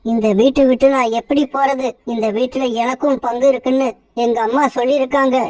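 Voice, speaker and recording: A woman plays a gentle little brother bird in a cartoon, begging and wounded. The voice sits high and sounds dry and set back from the mic. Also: flat delivery, a little fast, no echo, distant mic, close to an everyday voice, poor audio. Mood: pleading. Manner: pleading, hurt.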